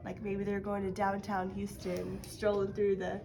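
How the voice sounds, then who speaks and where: A woman's voice: mid-range voice, monotone, normal speed, vocal fry, background noise. A woman teaching a casual one-on-one writing lesson, encouraging and guiding with questions. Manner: encouraging, explains by prompting with questions.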